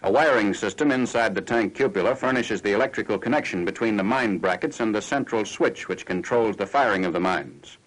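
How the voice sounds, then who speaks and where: An American man reading scripted 1940s military newsreel narration in a formal, factual, measured announcer style. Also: lowish voice. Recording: noisy 1940s newsreel film soundtrack.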